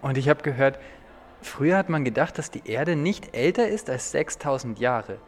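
A man's voice: mid-range voice, lively intonation, normal speed, big-room sound. An Austrian man co-hosting a podcast, talking through a book review in a thoughtful, slightly hesitant conversational way.

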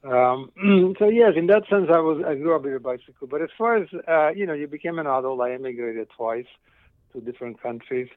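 A man's voice: a little high, animated, brisk, dry recording, phone-quality sound. A middle-aged man with a Slovak accent giving casual, reflective interview answers in an enthusiastic, rambling way, often interrupting himself. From eastern Slovakia. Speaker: interview guest, cycling company founder.

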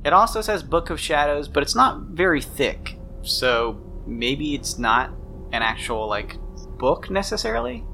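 A man's voice: a little high, big pitch swings, a little slow, vocal fry, bright, forceful tone, a little hiss or hum. A man narrating a tabletop role-playing game as game master, improvising scenes in the second person.

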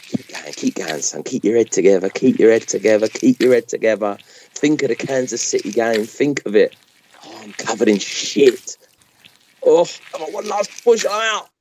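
Man playing a cheeky captive, very animated. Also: mid-range voice, moderate pace, slight background noise, lightly acted, poor audio. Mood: straining. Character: cheeky captive podcast presenter plotting his escape. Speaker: podcast host. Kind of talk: amateur comedy audio drama acting.

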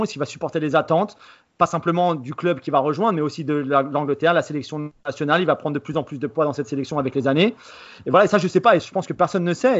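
A man's voice: fairly high pitch, lo-fi sound. A man who is a football journalist, giving assertive analysis on a podcast. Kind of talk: podcast discussion.

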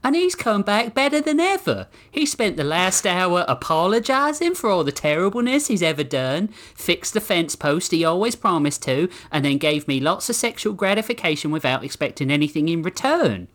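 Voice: medium pitch, big pitch swings, normal speed, few pauses, a bit bright, voice pushed up. A performer voicing a besotted, earnest Old West saloon woman who gushes and pleads in a story reading. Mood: gushing.

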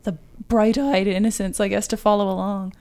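A woman's voice: medium pitch, steady tone, brisk, a little mellow, clean tone. A woman writer talking casually about fiction as a guest on a discussion podcast.

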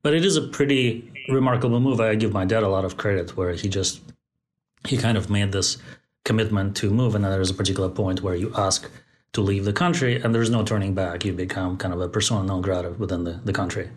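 A man in his forties, born in Moscow and raised in Central Asia, speaks thoughtfully as a podcast guest, pausing often to find his words. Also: on the low side, fairly even intonation. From born in Moscow, raised in Tajikistan. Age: 40s. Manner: thoughtful, many fillers and restarts. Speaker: guest, company founder. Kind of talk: podcast interview. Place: Bay Area.

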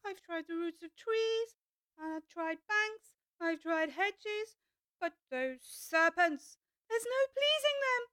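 A woman's voice: very high voice, animated, slow pace, sharp tone, no echo, voice pushed up, exaggerated voice. A woman voicing an indignant mother pigeon guarding her eggs, accusing and sulky in a storybook reading. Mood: shrill, indignant.

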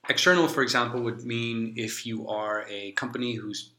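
Guy moderating a panel, bright sharp voice, feels his way through questions, echoey. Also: medium pitch, fairly even intonation, moderate pace. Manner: thoughtful, searching questions with restarts. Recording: echoey room.

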